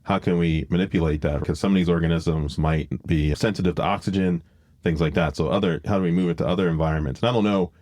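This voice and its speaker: A man's voice: low voice, steady tone, quick pace, darkish tone, no room sound, studio quality. A man hosting a podcast, explaining things in a casual discussion and thinking aloud as he goes. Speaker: podcast host.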